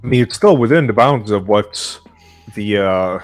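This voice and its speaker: A man hosting a casual group chat, giving brief, low-key replies. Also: animated, moderate pace, faint music behind. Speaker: podcast host.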